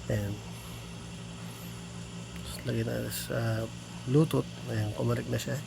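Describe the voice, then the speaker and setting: A man with a Filipino accent giving a casual hands-on product demonstration in an informal, halting, think-aloud way. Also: mid-range voice, steady tone. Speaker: product tutorial presenter. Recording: clearly noisy background.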